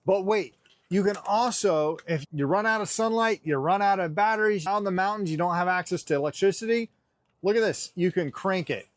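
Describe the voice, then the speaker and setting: A man with a lightly raised pitch walks through a product in a casual, geeky way. He is chatty and openly enthusiastic, like a reviewer talking to followers. The sound is close and dry, with very little room. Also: a little fast, no echo. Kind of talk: casual unboxing and demonstration.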